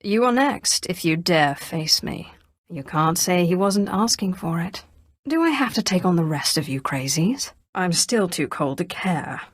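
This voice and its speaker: A menacing young Sith sorceress from a video game, pitched on the low side for a female voice. She is cold and commanding, speaking in clipped, imperious phrases with a dry sardonic edge. The recording is close and dry, with no room sound. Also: expressive, measured pace, dry recording. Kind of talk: scripted video game dialogue.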